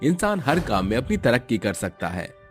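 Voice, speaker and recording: A man's voice: medium pitch, very animated, normal speed, faint music behind. A man narrating a scripted moral story as a storyteller.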